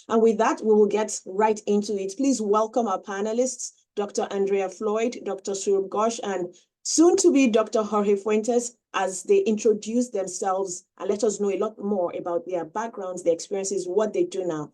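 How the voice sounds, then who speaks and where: A moderator opening an online seminar on a video call, friendly, with many fillers. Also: male voice, sits high, a little mellow. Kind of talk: webinar moderation.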